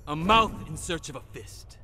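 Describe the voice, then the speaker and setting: An actor playing a proud, combative Shaolin warrior monk in a video game, with formal, boastful challenges. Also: male voice, a little high, very animated, normal speed, sharp tone, soft background music. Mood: defiant, boastful. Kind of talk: video game fight intro dialogue.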